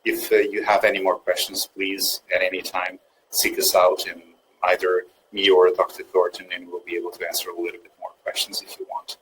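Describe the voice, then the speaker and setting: A man's voice: deep voice, completely flat delivery, fairly quick, fairly bright tone, a little hiss or hum, rough recording. A man from Portugal, a fertility doctor, answering questions on a webinar with frequent fillers and restarts. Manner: hesitant, many fillers and restarts.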